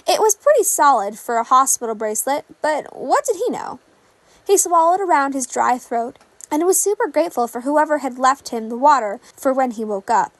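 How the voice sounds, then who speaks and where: High-pitched woman narrating a story. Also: no room sound. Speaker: fan fiction reader.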